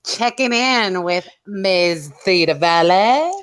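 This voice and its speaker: A woman's voice: on the low side, lively intonation, takes it slowly. A woman co-hosting a podcast in casual, irreverent banter, giggly and a little tipsy, speaking into a headset microphone. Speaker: podcast co-host.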